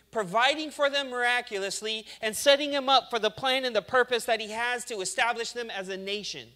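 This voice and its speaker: Guy pastor preaching casual, high bright voice, acts stuff out. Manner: conversational preaching, acts out complainers. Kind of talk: sermon.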